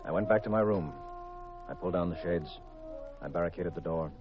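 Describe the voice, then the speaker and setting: Male actor as private eye, very low pitch, terse, wry, old-time radio mystery, dull aged recording. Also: brisk, natural voice. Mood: tense, matter-of-fact. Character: hard-boiled male private detective, cool, wry, narrating his case. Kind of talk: 1940s-50s radio mystery acting, first-person narration. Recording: muffled vintage radio recording with music.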